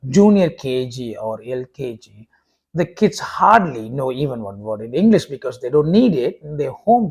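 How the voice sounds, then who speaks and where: Husky man, halting talk. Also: a little high, a little mellow. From India. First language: Tamil. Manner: halting, many uh fillers and restarts. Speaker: vlogger and preacher. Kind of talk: casual talk to camera.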